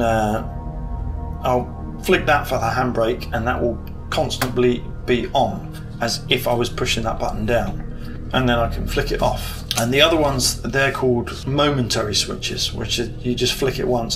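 British man, 30s, relaxed home-vlog talker, slow pace, webcam at home, music bed behind. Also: medium pitch. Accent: British English. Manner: relaxed, thinks aloud while shopping, frequent ums. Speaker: hobbyist YouTuber. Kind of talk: casual build vlog walkthrough. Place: living room at home. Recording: clear background music under voice. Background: background music.

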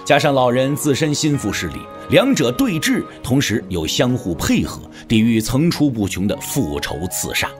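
A man narrating a martial-arts novel as a dramatic audiobook, with a measured, expressive storyteller's delivery. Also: medium pitch. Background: background music.

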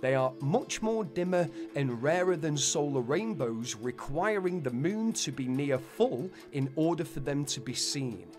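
A man reading a scripted explainer narration, with folksy, wonder-filled asides to listeners. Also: on the high side. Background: background music.

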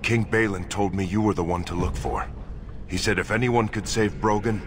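Low-voiced, solemn dwarven nobleman played by a man. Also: background noise, close to an everyday voice. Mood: earnest, determined. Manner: solemn, formal, measured. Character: earnest, grave dwarven nobleman seeking his lost brother. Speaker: video game character narrating and in dialogue. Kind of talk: dramatic scripted game dialogue and narration. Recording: game audio under loud music.

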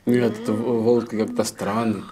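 A man's voice: fairly low pitch, level tone, normal speed, crowd noise. A young man making casual comments while watching a cartoon. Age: young adult. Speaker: reaction show participant. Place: indoor studio set, seated at a table. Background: faint cartoon soundtrack music.

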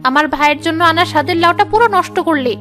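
Woman as bossy, very high-voiced auntie. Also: fast talker, bright, forceful tone, soft background music. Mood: scolding. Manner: scolding, emphatic. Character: bossy, excitable, fault-finding middle-aged aunt. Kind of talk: cartoon story voice acting.

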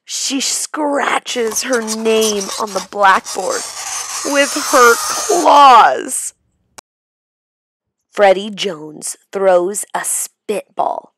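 Very slow, theatrical woman storyteller. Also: a little high, a little hiss or hum. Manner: theatrical, suspenseful, expressive. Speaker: storybook reader. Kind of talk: dramatic children's read-aloud narration.

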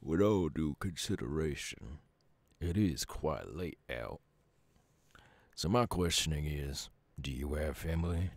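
Man voicing a very deep, gravelly Southern werewolf. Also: lively intonation, unhurried, no echo, heavily acted. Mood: grateful, earnest. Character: gruff, lonely, gentle Southern male werewolf. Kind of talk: ASMR audio roleplay.

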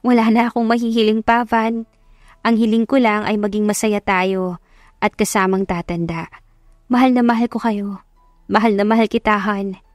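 A woman's voice: mid-range voice, little pitch movement, moderate pace, long pauses, dry recording, clean studio sound, soft background music, lightly acted. A woman voicing an affectionate, playful young wife in love, in a romance audiobook. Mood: adoring, grateful.

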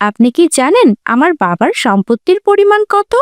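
A spoiled young rich sister from a cartoon morality tale, acted by a woman, with a very high, swooping voice. She is scornful and pushy, scolding everyone in a haughty tone. The sound is close and dry. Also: big pitch swings, a little fast, no room sound. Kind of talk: melodramatic cartoon story dubbing.

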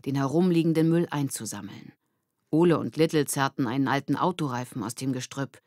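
A woman's voice: low-pitched, dry recording, studio quality. A woman narrating a scripted children's story as an audiobook reader.

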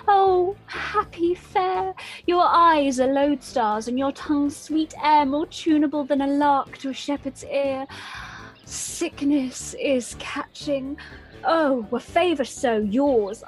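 A woman playing a lovelorn, envious young woman, delivering plaintive dramatic verse in a Shakespearean radio play. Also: sits high.

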